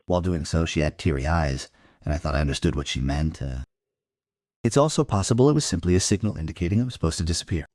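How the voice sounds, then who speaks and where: An actor playing a brooding, persistent crime investigator who narrates and questions in a measured, reflective way in an audio drama. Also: male voice, deep voice, animated, darkish tone, slightly rough, no echo.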